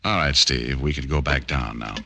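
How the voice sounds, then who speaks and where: An actor playing a wry, easygoing private detective in old radio drama, with a laconic, teasing manner. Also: male voice, a bass voice, normal speed. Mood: cool, firm. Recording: old-time radio broadcast with music.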